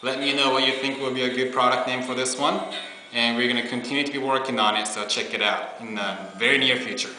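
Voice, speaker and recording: Bright young man in an echoey room. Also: medium pitch, fairly even intonation, quick pace, a little hiss or hum. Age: 20s. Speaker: product presenter. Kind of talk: casual product demo. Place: small bare meeting room indoors. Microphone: camera on table, about arm's length or farther. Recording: echoey room, faint music.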